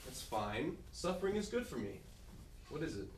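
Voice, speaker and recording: A man's voice: mid-range voice, very animated, normal speed, roomy sound, a little hiss or hum, close to an everyday voice, poor audio. An actor playing a playful husband who narrates his own actions in a mock-heroic way. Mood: dry, calm. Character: playful husband, theatrical and wry.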